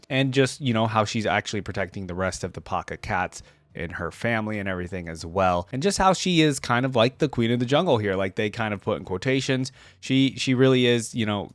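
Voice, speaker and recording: A man's voice: mid-range voice, no room sound. Casual male reaction commentator. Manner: conversational, thinking out loud. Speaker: reaction video host and commentator. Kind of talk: casual reaction commentary mixed with reading text aloud.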